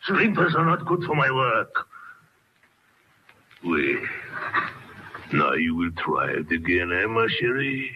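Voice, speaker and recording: Mad old French ghoul, low voice, gloating, very slow, theatrical old-time radio horror, noisy dull recording. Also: male voice, very animated. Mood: gleeful, deranged. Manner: theatrical, drawn-out, chuckling, coaxing with "eh?".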